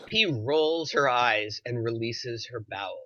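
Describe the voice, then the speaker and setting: Bright-voiced man reading stage directions. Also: medium pitch, unhurried, muffled recording, a little hiss or hum. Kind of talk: scripted narration at a screenplay table read.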